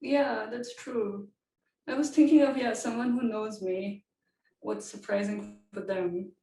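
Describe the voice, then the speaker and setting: A woman's voice: on the high side, steady tone, measured pace, mellow. A woman researcher answering interview questions casually, chatty and thinking aloud with frequent laughs. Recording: echoey room, distant from the mic.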